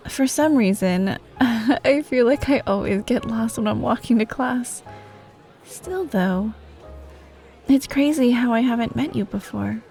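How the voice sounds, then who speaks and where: A woman playing a friendly, teasing yet bashful popular student, speaking softly and casually to the listener in a wholesome ASMR roleplay. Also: fairly high pitch, a little slow. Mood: friendly, curious. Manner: soft, casual, hesitant, giggly. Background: music, cafe ambience.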